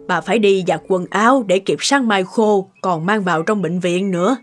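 A woman playing a kindly, hesitant elderly grandmother in a cartoon, speaking haltingly and evasively. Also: mid-range voice, soft background music, lightly acted. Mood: gentle, busy. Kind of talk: cartoon dialogue acting.

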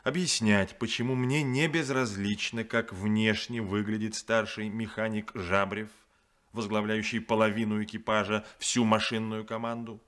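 An actor playing a stern, demanding ship captain in a 1970s radio play, firm and reproachful. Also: male voice, fairly low pitch, fairly even intonation, normal speed, fairly bright tone, close to an everyday voice.

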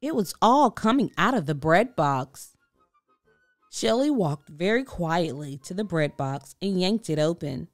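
A woman reading a children's book aloud with expressive, deliberate storytelling. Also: lowish voice, no room sound, faint music behind. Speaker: storybook reader. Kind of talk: children's read-aloud narration.

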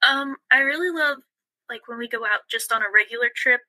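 A young woman speaks with enthusiasm as a podcast guest, her voice a little high and very bright. The sound is close and dry, with no room around it. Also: brisk, dry recording. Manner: enthusiastic, repeats "awesome". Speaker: college fishing club member, guest. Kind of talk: podcast interview answers.

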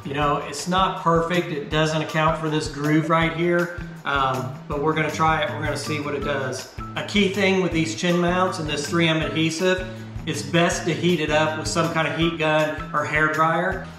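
A man in his fifties hosting a motorcycle vlog channel, explaining a helmet setup in a relaxed, chatty, self-deprecating way at a desk indoors. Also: a little high, normal speed, roomy sound, over music. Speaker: motovlog channel host. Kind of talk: casual gear tutorial. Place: indoor garage studio.

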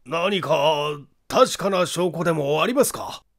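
Insistent high-pitched police inspector, played by a man. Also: a little slow. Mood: pressing.

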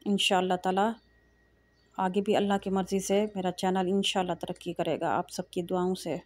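A middle-aged woman speaks in a calm, low-key voice, keeping her pitch level and even as she narrates a shopping vlog. Her tone is mellow and dark rather than bright, and she pauses often with small hesitations. The sound is close and dry, recorded on a handheld phone. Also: no echo. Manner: calm, soft-spoken, frequent hesitations and pious phrases. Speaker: vlogger. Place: large indoor shopping mall. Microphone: phone camera held while walking.

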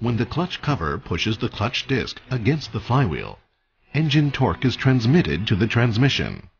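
A man reading scripted instructional narration in a measured, formal way as the narrator of a technical training video. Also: mid-range voice, big pitch swings, vocal fry, breathy edge, highs cut off.

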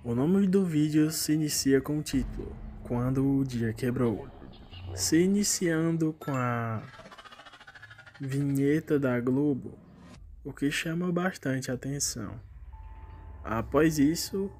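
A man's voice: medium pitch, a little mellow. A Brazilian man hosting an online video, narrating an informal video essay on horror series. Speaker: YouTube host.

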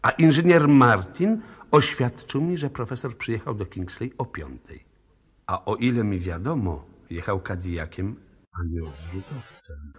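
An actor playing a brisk, persistent police inspector who questions insistently, in 1960s radio play style. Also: male voice, fairly low pitch, lively intonation, slightly rough. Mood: sardonic.

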